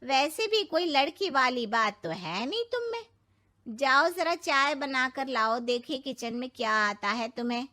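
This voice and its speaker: Woman playing an animated, slow-talking outspoken mother-in-law. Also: high-pitched, full character voice. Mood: scolding. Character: outspoken middle-aged Indian mother-in-law, sharp-tongued but approving. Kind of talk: cartoon moral story acting.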